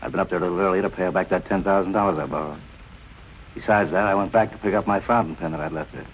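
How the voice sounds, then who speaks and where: Actor as deep, fast-talking noir tough guy. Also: dull audio, slight background noise, close to an everyday voice. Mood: relaxed, explaining. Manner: casual, wry, slangy. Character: cool, wry nightclub tough guy suspect. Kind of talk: 1940s film noir acting. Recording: vintage film soundtrack, faint music.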